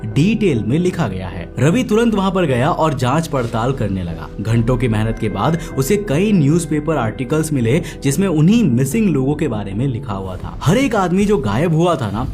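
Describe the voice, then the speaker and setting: A man's voice: medium pitch, sing-song, moderate pace, hardly any pauses, darkish tone. A man narrating a scripted horror story as a storyteller. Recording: faint music under the voice. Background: faint background music.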